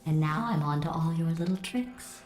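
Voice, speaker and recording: An actress voicing a cold, sarcastic female AI supercomputer in a video game, with a flat, measured, passive-aggressive delivery through synthetic robotic processing. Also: sits low, over loud music. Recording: echoey game audio.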